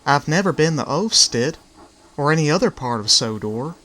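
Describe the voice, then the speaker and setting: A man's voice: fairly high pitch, animated, moderate pace, pushed and bright. A man voicing an earnest, literal-minded little male brake van in a children's railway story, precise in manner. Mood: nervous.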